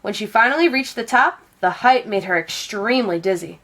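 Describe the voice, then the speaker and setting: A woman reading a children's storybook aloud as an expressive narrator. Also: mid-range voice, brisk. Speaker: storybook reader.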